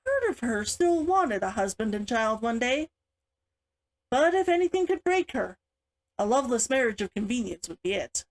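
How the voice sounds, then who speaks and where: High, animated woman narrator. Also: moderate pace. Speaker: author reading own book aloud. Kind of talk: expressive audiobook-style narration.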